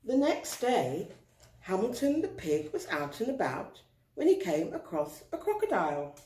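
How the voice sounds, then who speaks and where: A woman reading a picture book aloud to children, expressive and deliberate, drawing out words for emphasis. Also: mid-range voice. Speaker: storyteller. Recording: roomy, echoing indoor sound.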